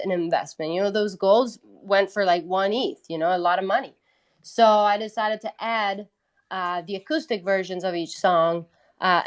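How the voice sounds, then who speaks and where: A woman from Italy, a musician, talking casually as an interview guest. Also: medium pitch.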